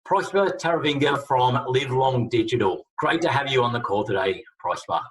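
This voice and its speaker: A man's voice: medium pitch, animated, normal speed. A man hosting an interview series over a video call, talking in a friendly way with many fillers. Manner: friendly, filler-heavy talk. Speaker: host. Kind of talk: interview introduction and questions. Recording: echoey room, far from the mic.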